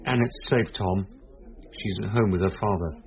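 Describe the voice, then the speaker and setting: An actor playing a calm, reassuring police detective who explains things gently in a radio drama. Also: male voice, deep voice, normal speed. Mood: gentle, reassuring. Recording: slightly dull radio recording.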